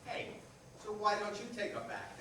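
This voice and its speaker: A man's voice: high-pitched, steady tone, a little fast. A man playing a blunt, teasing companion in a staged reading of a comic play, with a matter-of-fact, needling delivery. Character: blunt, teasing male companion giving advice. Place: live theater reading in an echoey room. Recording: echoey hall, distant, loud noise.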